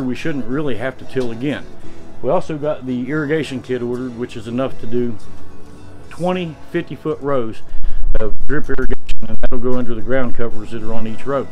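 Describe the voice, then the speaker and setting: A man's voice: medium pitch, low-quality recording. A man in his fifties vlogging outdoors from a greenhouse frame, chatting plainly and explaining his work step by step to the camera. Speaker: homesteading vlogger. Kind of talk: casual to-camera vlog. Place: outdoors in a roofless greenhouse frame on a windy day.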